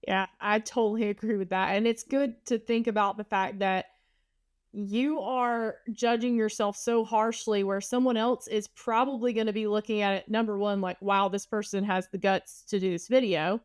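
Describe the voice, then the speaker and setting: A woman's voice: medium pitch, steady tone, moderate pace, no echo, studio quality. A woman with a Southern US accent hosting an interview podcast, chatty and fond of rhetorical questions. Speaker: podcast host. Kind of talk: casual interview talk.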